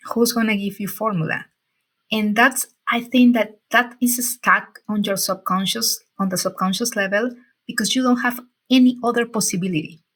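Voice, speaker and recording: A woman in her forties from Peru speaks as a guest with a bright, forward voice. She talks haltingly, stopping and restarting as she searches for words. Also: medium pitch, steady tone. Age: 40s. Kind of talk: casual interview.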